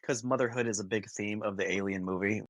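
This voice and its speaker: A podcast co-host in a casual movie discussion, joking in a deadpan way. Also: low-pitched, fast talker, dry recording.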